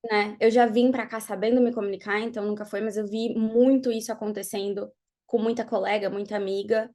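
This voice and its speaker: A young woman from Brazil, a podcast guest, chatting casually and telling her story in an animated way, laughing often. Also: mid-range voice, a little fast. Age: young adult. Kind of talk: casual interview chat. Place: podcast studio.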